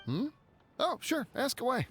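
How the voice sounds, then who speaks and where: An actor voicing an easygoing, dreamy sea-loving townsperson in an anime game, relaxed and absent-minded. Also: sits high, a little slow, slightly rough, full character voice. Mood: easygoing, musing. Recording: music behind the voice.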